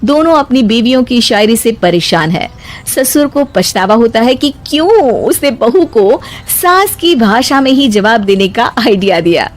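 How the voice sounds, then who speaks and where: A woman reading scripted narration for an animated moral story. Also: on the high side, a little slow.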